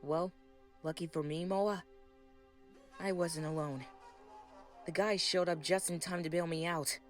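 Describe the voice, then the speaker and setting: A man voicing a kindly, patient older grandmother in a comic dub, speaking in a gentle, folksy, reassuring way. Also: a little high, steady tone, measured pace, lightly acted. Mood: storytelling. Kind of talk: scripted comic dub dialogue and storytelling. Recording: loud background music under voice. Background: film soundtrack music.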